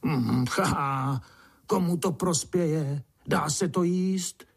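A man's voice: fairly high pitch, vocal fry, breathy edge, pitched above the performer's own voice. A man voicing a grumpy, scornful old grandfather ant in a children's storybook, grumbling and mumbling. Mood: grumbling, dismissive.

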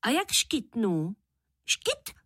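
A woman's voice: high voice, big pitch swings, a bit bright, no room sound, studio quality, full character voice. A woman voicing a small, plaintive, childlike spider in a rhymed children's poem.

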